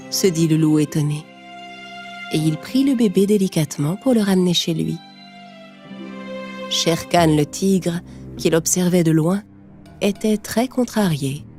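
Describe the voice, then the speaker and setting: A woman narrating a scripted children's story in a measured storytelling manner. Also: lowish voice, mellow.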